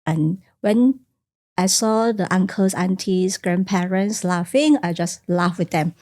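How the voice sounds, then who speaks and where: A woman from China whose first language is Teochew, speaking softly and reflectively as a guest in a studio podcast interview. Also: fairly low pitch, a little slow. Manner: soft-spoken and reflective, simple phrasing with small grammar slips. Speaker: guest, career coach. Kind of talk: podcast interview, personal storytelling.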